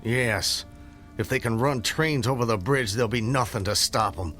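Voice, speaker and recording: An actor playing a proud, fervent planter in a radio drama, formal and grandiloquent. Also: male voice, mid-range voice, moderate pace, fairly bright tone, faint music behind, close to an everyday voice. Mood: intent. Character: proud, fervent Southern gentleman planter and husband.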